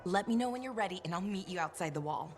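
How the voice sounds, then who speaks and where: An actress plays a young female adventurer in a sci-fi game. She is earnest, impulsive and determined, at an average pitch for a woman. Faint music plays underneath. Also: expressive, fast, lightly acted. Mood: earnest, spirited. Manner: earnest, spirited. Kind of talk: video game cutscene acting.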